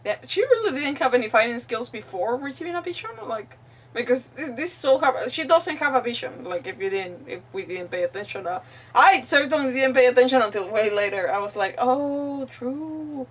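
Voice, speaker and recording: Bubbly Mexican woman, native Spanish speaker, high voice, casual game-stream chatter, slightly distant mic. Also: animated, fairly quick. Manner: bubbly, rambling, giggly. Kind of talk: casual livestream chat while playing. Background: game music.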